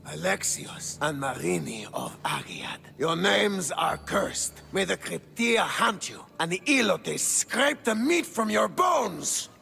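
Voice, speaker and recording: An actor playing a gruff, imperious aging Spartan king in a video game cutscene, with a husky, gravelly voice. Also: high-pitched, huge pitch swings, takes it slowly, hardly any pauses, bright, forceful tone, exaggerated voice. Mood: wrathful. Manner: imperious, declamatory. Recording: long echoing hall reverb.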